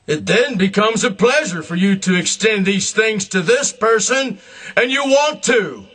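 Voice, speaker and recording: A man delivering a solemn, scripted religious address in a grandiose, emphatic manner, in deliberate short phrases. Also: sits high, lively intonation, a bit bright. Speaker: self-proclaimed religious leader.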